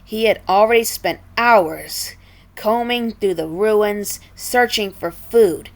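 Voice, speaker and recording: A woman reading a children's story aloud in a deliberate, dramatic manner. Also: mid-range voice.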